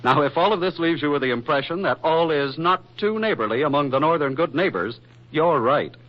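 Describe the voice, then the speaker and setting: A man's voice: fairly high pitch, lively intonation, brisk, lightly acted. An actor as the genial narrator of a 1940s radio drama, polished and theatrical as he addresses the listeners. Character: genial storytelling radio announcer. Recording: dull, lo-fi 1940s radio recording. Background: music.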